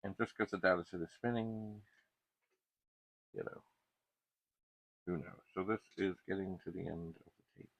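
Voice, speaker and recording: A man with a very low, husky voice thinks aloud in casual, hands-on tech commentary. He speaks haltingly and often questions himself. The recording is dry and muffled, with a thin phone-like band. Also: fairly even intonation, no room sound. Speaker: host of a vintage computer repair channel.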